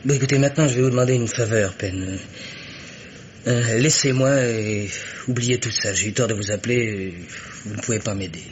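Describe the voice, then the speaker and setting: Stubborn, agitated man of medium pitch acted. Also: normal speed, a little hiss or hum, natural voice. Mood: urgent, pleading. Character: stubborn, agitated man under suspicion, fiercely loyal to a woman. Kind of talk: French radio mystery play acting.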